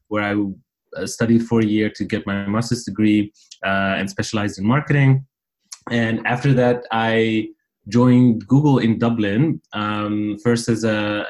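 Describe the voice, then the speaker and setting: Low-voiced raised man, thoughtful. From Netherlands. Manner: frequent "uh" fillers, steady and thoughtful. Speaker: podcast guest. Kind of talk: casual interview chat. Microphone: remote call.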